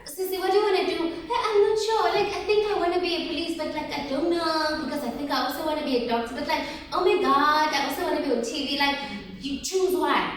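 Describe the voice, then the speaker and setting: A woman in her forties from South Africa, giving a lively motivational talk to teenage girls and asking them questions as she goes. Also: high-pitched, quick pace, heavy reverb, distant mic, a little hiss or hum. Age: 40s. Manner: lively, asks the audience questions often. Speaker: workshop facilitator.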